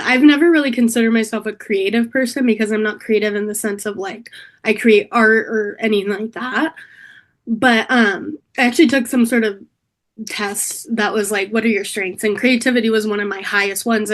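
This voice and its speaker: A middle-aged woman from California, slightly hoarse from a cold, talking reflectively in a casual interview, with many pauses and restarts. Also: on the high side. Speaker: interview guest, political staffer.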